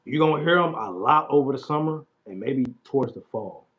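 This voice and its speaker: A man in his late twenties talks loosely and casually, drifting into quiet mumbled asides between bits of chat. His voice sits in an ordinary middle register. The audio is dull and lo-fi, like a home stream mic. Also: medium pitch, expressive, fairly quick. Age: 20s. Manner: laid-back slangy talk, mumbling asides. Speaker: livestream host. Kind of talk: casual livestream chat about music.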